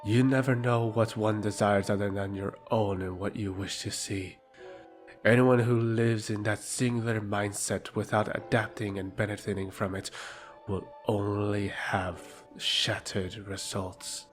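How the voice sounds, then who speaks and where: A man acts out a defiant courtly advisor in a fantasy audio drama. The voice sits fairly low, formal and pointed with a sardonic edge, over faint music. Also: fairly even intonation, unhurried, lightly acted. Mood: bitter.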